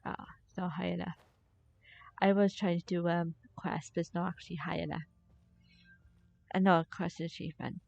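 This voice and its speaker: A woman gives casual live gameplay commentary in a slightly low voice. She rambles as if half talking to herself. The sound is very dry and a little dull, like a basic streaming setup. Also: flat delivery, normal speed, gravelly, long pauses, a little mellow, no echo. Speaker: game streamer.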